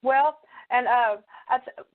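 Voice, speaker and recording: A woman hosting a casual interview, phrasing her questions in an informal, halting way. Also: a little high. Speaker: show host, interviewer. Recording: muffled, narrow phone-like sound.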